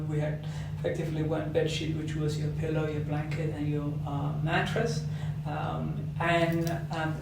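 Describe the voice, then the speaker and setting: Man talking in an echoey room. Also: on the high side. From Somalia. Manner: reflective, explains with examples and rhetorical questions. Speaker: podcast guest. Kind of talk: casual interview talk. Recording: echoey room, mic at a distance, noisy.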